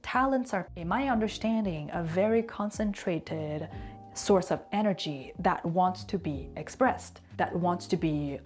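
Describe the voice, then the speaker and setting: A woman whose first language is Chinese, giving an earnest, reflective talk on consistency and slowing down to stress key words. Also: fairly low pitch, faint music behind. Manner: conversational asides and fillers. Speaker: language and culture teacher.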